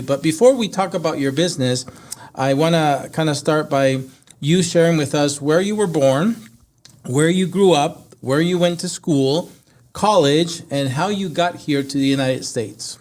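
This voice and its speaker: A man's voice: fairly high pitch, moderate pace, darkish tone. A man hosting a podcast, speaking in a conversational interview style, a non-native English speaker. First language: not English. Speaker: podcast host.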